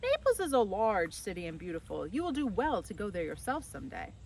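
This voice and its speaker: Woman voicing a proud, grumbling, animated fisherman. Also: mid-range voice, fairly quick, noisy background. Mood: patronizing. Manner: exclamatory, repetitive, mock-exasperated. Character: proud, teasing, lazy fisherman man, grumbling and dramatic. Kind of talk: children's storybook character reading.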